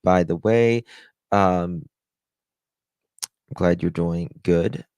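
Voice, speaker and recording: A low-pitched man talks unhurriedly to his live-stream audience, chatty and self-deprecating, reading out names from chat and thanking them. His voice sits deep and the sound is dry and close, with no room around it. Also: drawn-out words, darkish tone, no echo. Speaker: livestream host.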